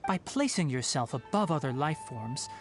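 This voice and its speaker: Man acting as young male forest ranger, video game, calm scholar, earnest, animated pitch, faint music behind. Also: natural voice. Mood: earnest, serious. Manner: measured, earnest, gently didactic. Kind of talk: scripted video game character dialogue.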